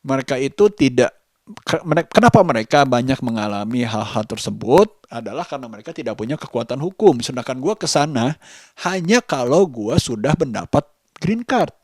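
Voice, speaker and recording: A man talking casually as a vlogger, halting and deliberate, repeating phrases for emphasis. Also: mid-range voice, sing-song, darkish tone, dry recording, studio quality. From Indonesia. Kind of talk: casual vlog monologue.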